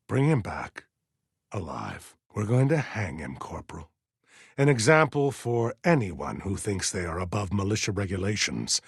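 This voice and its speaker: A husky, stern militia colonel. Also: male voice, fairly low pitch, dry recording, studio quality, exaggerated voice. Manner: measured, clipped commands, righteous and solemn. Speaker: military commander giving orders. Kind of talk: dramatic video game voice acting.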